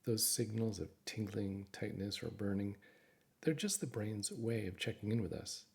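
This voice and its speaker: A man narrating a guided meditation in a gentle, reassuring, instructive manner. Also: on the low side, normal speed, vocal fry.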